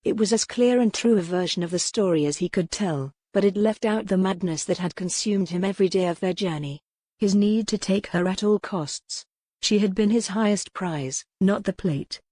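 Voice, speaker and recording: A woman's voice: mid-range voice, normal speed, warm tone, no room sound. Woman narrating a historical romance audiobook.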